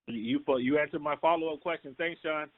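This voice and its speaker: A man's voice: fairly high pitch, fast talker, narrow, tinny audio. A man asking questions as a reporter on a conference call. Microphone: telephone. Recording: thin phone conference line.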